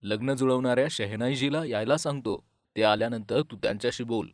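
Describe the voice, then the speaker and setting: A man voicing a gentle, dutiful son and father in an animated moral story. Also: medium pitch, fairly quick, no echo, clean studio sound, close to an everyday voice. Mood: calm, consoling.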